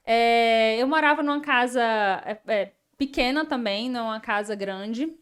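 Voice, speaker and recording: A woman's voice: a little high, normal speed, fairly bright tone, clean tone. A woman in her thirties from Ceará, Brazil, a guest architect telling her life story in casual, chatty interview talk with frequent tag questions. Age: 30s.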